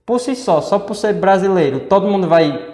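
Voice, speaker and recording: A man's voice: sits high, lively intonation, normal speed, darkish tone. A man with a Northeastern Brazilian accent, co-hosting a casual chat and explaining at length with rhetorical questions. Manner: rambling, many fillers and rhetorical questions. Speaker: co-host. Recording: echoey room with long reverb.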